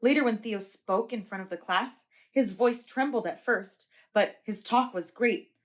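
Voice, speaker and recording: A preschool teacher reads a picture book to young children, her voice sitting a little high. She narrates clearly and expressively, pacing the story for small listeners. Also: unhurried.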